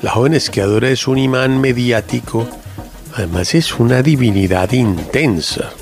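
Man, radio host, gravelly voice, joking and opinionated, casual chat, faint background music. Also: medium pitch, moderate pace.

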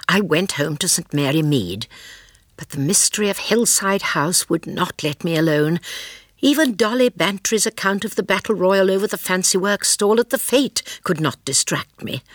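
An older woman playing a gentle, shrewd elderly lady sleuth in a radio drama, speaking in a measured, earnest, genteel way. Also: fairly low pitch, sing-song, dry recording, studio quality. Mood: reflective. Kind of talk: radio drama acting and first-person narration.

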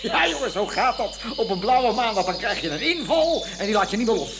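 An exasperated canary fanatic in an old radio comedy. His high voice lurches from muttering to shouting as he stammers, henpecked and agitated. The recording is very noisy, with birds chirping behind him. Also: very animated, a little fast. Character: obsessed, exasperated male canary breeder, excitable and henpecked. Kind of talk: Dutch comic radio play acting. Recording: noisy early 1980s radio play recording.

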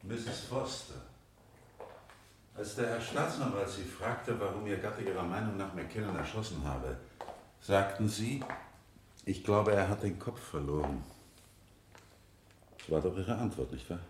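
Measured defence lawyer, echoey courtroom. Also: male voice, deep voice, background noise. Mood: pointed. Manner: formal, rhetorical, pointed questioning. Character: male defence lawyer, insistent, measured and probing. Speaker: actor in a radio play. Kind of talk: 1970 German courtroom radio drama acting. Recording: echoey courtroom-like room, 1970 radio production.

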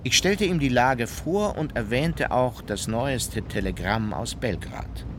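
An actor playing a sober naval memoirist, giving measured, factual narration in a historical audio drama. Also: male voice, medium pitch, animated, noisy background. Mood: composed.

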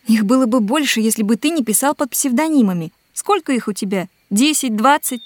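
Casting: actress for concerned, sensible woman, slightly high voice, pleading and mildly reproachful; audio drama. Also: lively intonation, fairly quick, slight background noise, lightly acted. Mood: concerned, coaxing. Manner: earnest, gently reproachful. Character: caring, sensible woman pleading with a restless man.

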